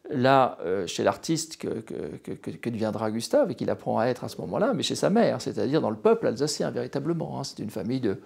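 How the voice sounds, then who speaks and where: A man from France in his late sixties, a retired history professor, gives a personal account in an interview. He talks hesitantly, with many fillers and restarts, and his voice has a gravelly edge. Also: medium pitch, big pitch swings, fairly quick, hardly any pauses, a little mellow. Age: 60s.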